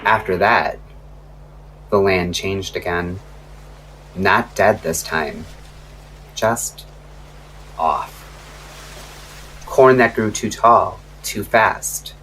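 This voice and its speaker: A man hosting a late-night radio horror show, narrating a scripted story in a measured, ominous way with dramatic pauses. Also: sits low, a bit bright, slight background noise, low-quality recording. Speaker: radio-style host and storyteller.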